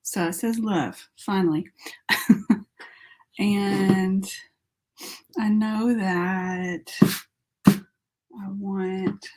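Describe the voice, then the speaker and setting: A woman with a sore throat, her voice starting to give out. She talks slowly and unhurriedly, chatting and thinking aloud as she works through a casual live painting tutorial. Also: mid-range voice, fairly even intonation, darkish tone. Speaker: artist hosting a live painting stream.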